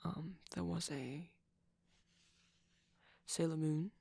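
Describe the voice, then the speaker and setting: Man playing a breathy, shy vampire boyfriend. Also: on the high side, steady tone, extremely slow, no room sound, heavily acted. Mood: softly enthusiastic, hesitant. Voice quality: soft, breathy, husky, often near a whisper. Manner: hesitant, bashful, rambling tenderly. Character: shy, gentle young male vampire boyfriend. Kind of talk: intimate ASMR boyfriend roleplay.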